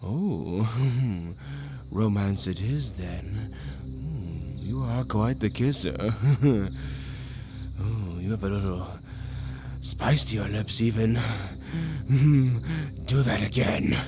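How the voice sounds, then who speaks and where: Man voicing a slow, seductive demon tempter. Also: on the low side, background noise. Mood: flirtatious, sly. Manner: teasing, drawn-out, theatrical delivery with frequent chuckles. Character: seductive, menacing male demon tempter, theatrical and playful. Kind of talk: dark romance ASMR character roleplay. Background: dark ambient music.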